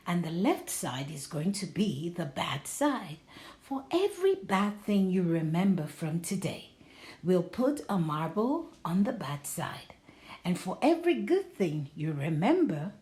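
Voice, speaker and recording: A woman voicing a patient, gently coaxing mother in a storybook, encouraging and a little mysterious. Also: on the low side. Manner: encouraging, reassuring.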